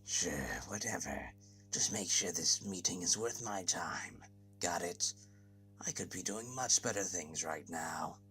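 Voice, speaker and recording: Man as a deep, surly, impatient aristocrat. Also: measured pace, pushed and bright, faint music behind. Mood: bored, curt. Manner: curt, dismissive. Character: surly, impatient, high-class male guest. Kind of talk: amateur audio drama acting.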